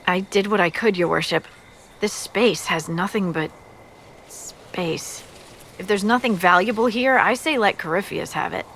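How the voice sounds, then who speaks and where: A woman playing a brisk army scout in a video game, with a dry, informal, matter-of-fact manner. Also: mid-range voice, a bit bright, a little hiss or hum. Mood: wry. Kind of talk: video game character acting.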